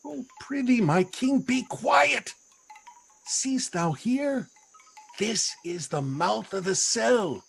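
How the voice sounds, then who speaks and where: An actor playing a servile, scheming, fretful island monster in a Shakespearean radio play, with a raspy, creaky voice. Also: male voice, very high voice, expressive, takes it slowly, full character voice. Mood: fawning, hushed.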